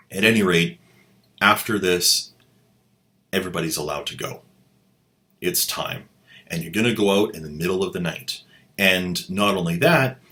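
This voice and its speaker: A man's voice: low-pitched, bright, forceful tone. A man hosting a commentary video who mocks the drama clips he plays with a sarcastic, incredulous delivery. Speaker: host, commentator.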